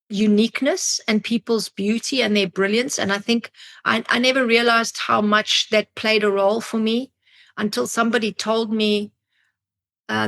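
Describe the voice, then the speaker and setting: A woman, who grew up in Africa, talks as a podcast guest and tells stories in a thoughtful way, often pausing to find her words. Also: a little high, gravelly, fairly bright tone. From Zimbabwe, grew up in South Africa. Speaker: podcast guest, psychologist and drummer. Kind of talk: reflective interview storytelling.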